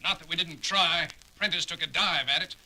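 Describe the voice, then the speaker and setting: An actor playing a gruff, irritable male ship's doctor who talks in a blunt, grumbling way in a 1970s audio drama. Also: on the high side, normal speed, bright, forceful tone, exaggerated voice.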